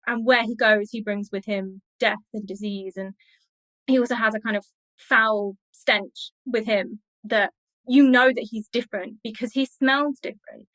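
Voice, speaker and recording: A woman gives an explanatory interview as an expert guest. Her delivery is measured and scholarly, laid out step by step. The sound is very dry, with hardly any room around her voice. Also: on the high side, steady tone, a bit bright, no echo, rough recording.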